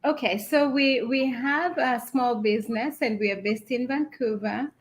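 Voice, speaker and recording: A woman's voice: on the high side, unhurried. A woman from Kenya, a small business founder, telling her story in a casual video call chat and laughing often.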